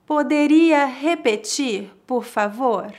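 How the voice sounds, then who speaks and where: A woman with a Brazilian Portuguese accent teaching a pronunciation lesson, speaking with clear, deliberate enunciation for learners to repeat. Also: high-pitched, expressive.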